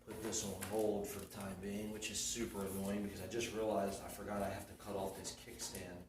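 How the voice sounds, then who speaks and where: A man with a slight sniffle from a cold, talking in a soft-spoken, rambling, self-correcting way as he explains a paint job step by step in a house. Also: low-pitched, level tone, a little fast. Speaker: DIY restoration vlogger. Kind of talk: casual how-to narration while working. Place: living room of a house, working on a frame. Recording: echoey room, far from mic, noisy.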